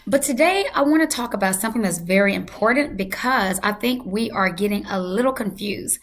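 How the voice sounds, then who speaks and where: A woman hosting a health channel, hoarse from losing her voice, talking casually and emphatically to her viewers. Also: mid-range voice, lively intonation, brisk. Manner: emphatic, repeats for effect. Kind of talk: casual talk to camera.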